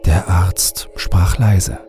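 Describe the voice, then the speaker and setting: A male audiobook narrator tells a horror tale ominously. His voice is very deep and his delivery slow and measured, with music clearly playing underneath. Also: fairly even intonation, dark tone. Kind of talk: scripted horror story narration.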